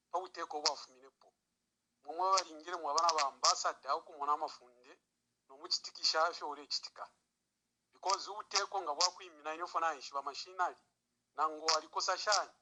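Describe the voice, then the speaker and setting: A man's voice: on the high side, steady tone, a little fast. A man with a Zambian accent giving spontaneous political commentary as a caller on a noisy phone line.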